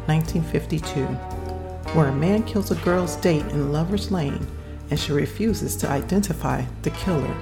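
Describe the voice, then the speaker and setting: A man's voice: on the high side, creaky. A man reading a scripted introduction as the host of a podcast. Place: voice-over with music behind.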